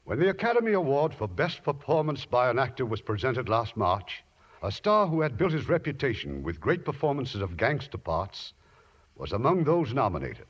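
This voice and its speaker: Expressive male radio host, muffled vintage sound. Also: mid-range voice, moderate pace, breathy edge. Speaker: radio theater producer and host. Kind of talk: scripted 1950s radio presentation.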